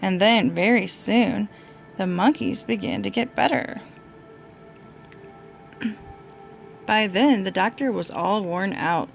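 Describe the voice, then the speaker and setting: A woman's voice: mid-range voice, slow, vocal fry, pauses often, soft background music. A woman reading a children's storybook aloud to a small child, as a parent would.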